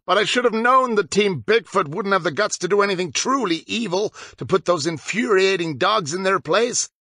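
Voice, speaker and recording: Man doing a scornful, raised-pitch villainous schoolboy. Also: animated, normal speed, bright, forceful tone, dry recording, full character voice. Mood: disgusted, scheming. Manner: disdainful, scheming. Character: scornful scowling teenage boy team captain, darkly villainous.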